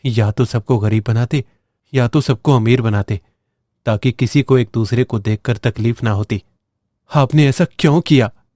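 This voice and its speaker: A man's voice: mid-range voice, a little slow, dark tone, dry recording, studio quality, close to an everyday voice. A man voicing a humble, sorrowful poor village boy in a moral-story cartoon, plaintive and pleading. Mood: sad, pleading.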